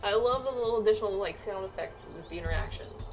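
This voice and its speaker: A woman's voice: medium pitch, fast talker, darkish tone, low-quality recording. A woman chatting casually through a game playthrough, reading the on-screen text aloud and stumbling now and then. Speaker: game streamer doing a playthrough. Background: game music.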